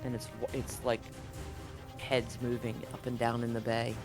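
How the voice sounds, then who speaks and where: A man working as game master, improvising narration for a tabletop roleplaying game in a halting, deliberate way. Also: mid-range voice, completely flat delivery, music in the background. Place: live-streamed game session.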